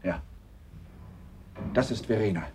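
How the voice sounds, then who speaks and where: An actor playing a quietly sinister, understated husband with a halting way of talking in a radio play. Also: male voice, lowish voice, background noise. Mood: coldly satisfied. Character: quietly sinister, hesitant widower-to-be, plotting husband. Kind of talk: German radio play acting.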